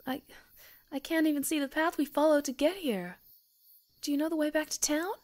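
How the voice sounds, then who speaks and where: A woman's voice: sits high, a little fast, darkish tone, natural voice. A woman playing a sweet, jittery, chatty young woman in an ASMR roleplay, with a girlish manner. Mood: worried, nervous.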